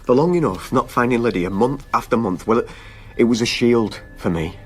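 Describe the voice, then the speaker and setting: A man's voice: mid-range voice, moderate pace, slightly rough, faint music behind, natural voice. An actor playing a weary, anguished husband and father in a naturalistic radio drama. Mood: confiding.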